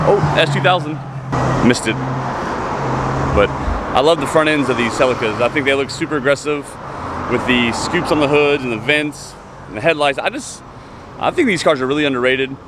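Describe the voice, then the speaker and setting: Man vlogging cars outdoors over street noise. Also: mid-range voice. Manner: enthusiastic car-enthusiast talk. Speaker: car vlogger. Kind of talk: casual walkaround vlog commentary to viewers. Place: outdoors by roadside car lots and shops. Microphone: handheld camera mic.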